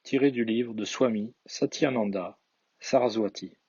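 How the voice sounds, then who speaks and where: A man guiding a yoga nidra relaxation, reading measured instructions in a husky voice with soft phrase endings. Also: fairly low pitch, dull audio. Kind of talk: guided relaxation meditation, read instructions.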